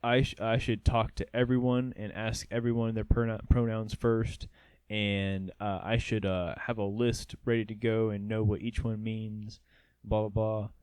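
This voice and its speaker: A man's voice: lowish voice, little pitch movement, normal speed, a little mellow, smooth, dry recording. A young man from North Georgia, co-hosting a podcast in a rambling, casual way with many restarts. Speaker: podcast co-host. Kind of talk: casual chat.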